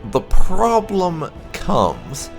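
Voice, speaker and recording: A man hosting a gaming video, speaking in a halting, deliberate way with drawn-out emphasis. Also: high voice, wildly swooping pitch, vocal fry, faint music behind. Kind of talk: casual let's-play commentary.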